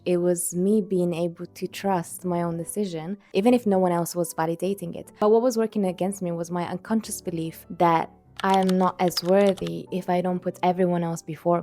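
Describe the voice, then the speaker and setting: Woman, lowish voice, calm earnest self-help chat, soft music behind. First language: Italian. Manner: calm, earnest, speaks straight to the viewer. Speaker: video creator. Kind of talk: reflective self-help monologue.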